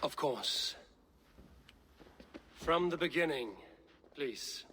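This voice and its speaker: Brief: a composed Viking chief, slightly high, forceful voice, measured delivery. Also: male voice, animated, slight background noise, exaggerated voice. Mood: concerned. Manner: measured, commanding. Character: calm, authoritative young Viking leader judging disputes. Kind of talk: dramatic video game acting.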